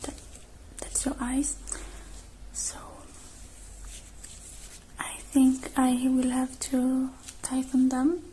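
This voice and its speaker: A woman speaking softly and whispering in an ASMR roleplay, gentle and hushed, drawing out her phrases with pauses. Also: high-pitched, completely flat delivery, a little hiss or hum, poor audio.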